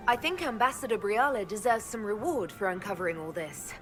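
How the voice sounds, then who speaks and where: An actress playing a composed, principled elf mage leader in a fantasy game, measured and plain-spoken. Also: female voice, mid-range voice, animated, fairly bright tone, faint music behind, natural voice. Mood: firm. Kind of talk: game dialogue acting.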